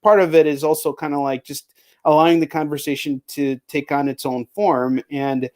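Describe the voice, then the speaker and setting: A man with a fairly high voice chats as a livestream host. He rambles in slangy, profane language, as if shooting the breeze with a fellow creator. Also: flat delivery, moderate pace, darkish tone. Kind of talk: casual chat with a guest.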